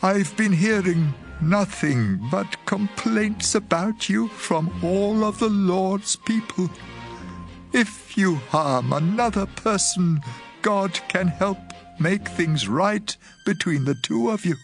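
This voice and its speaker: An actor playing a very old priest and grave, troubled father in an audio drama, speaking solemnly and deliberately. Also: high voice, expressive, soft background music, full character voice. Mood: distressed rebuke.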